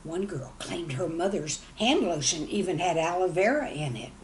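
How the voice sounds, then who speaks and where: A woman telling stories to young children in an expressive, folksy way, speaking to them directly. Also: lowish voice, moderate pace, slight background noise. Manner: folksy, animated, addresses young listeners directly. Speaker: children's storyteller.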